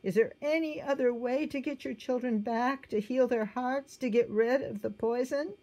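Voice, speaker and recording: A soft, pleading voice belongs to a gentle, sorrowful man at prayer, as a woman reading a storybook puts him on. The voice sits higher than her usual reading voice and stays tender and quiet. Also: normal speed.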